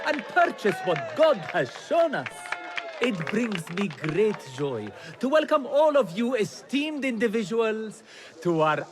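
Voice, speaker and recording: An actor playing a flamboyant, ingratiating auctioneer in a video game, delivering flowery sales patter to a crowd. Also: male voice, very high voice, noisy background, heavily acted. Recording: loud music and crowd behind.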